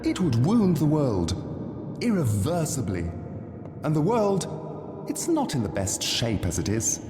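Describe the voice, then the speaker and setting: An actor playing a mockingly polite, condescending theatrical stage director in a video game, drawling with dramatic pauses. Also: male voice, a little high, wildly swooping pitch, warm tone, faint music behind, exaggerated voice. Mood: grave, condescending. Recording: long echoing hall reverb, faint noise.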